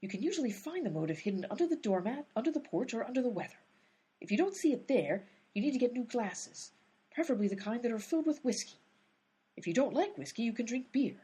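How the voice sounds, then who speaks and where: A woman's voice: a little high, very animated, fast talker, warm tone, husky, full character voice. A woman playing an exasperated, determined but inept detective in a radio comedy, with an emphatic, easily sidetracked manner. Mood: muttering, puzzled.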